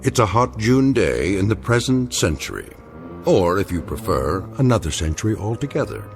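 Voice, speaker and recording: A man narrating a scripted anthology drama in a measured, portentous manner. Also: lowish voice, very animated. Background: music.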